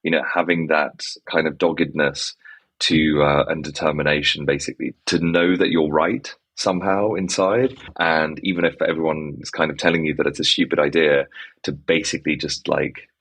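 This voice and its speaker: Very deep-voiced man with an English accent. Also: steady tone, normal speed, a bit bright, husky. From Czech Republic. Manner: rambling, many fillers like "kind of" and "basically". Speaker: guest, startup founder. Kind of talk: conversational interview.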